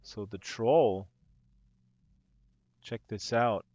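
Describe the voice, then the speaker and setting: Hoarse man rambling excitedly, dull lo-fi audio. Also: on the low side, drawn-out words, a little hiss or hum. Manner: excitable, rambling, gushing with amazement. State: hoarse from talking all day. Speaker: live streamer, virtual world tour guide. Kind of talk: casual live-stream commentary. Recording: dull lo-fi stream audio with music underneath. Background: music playing.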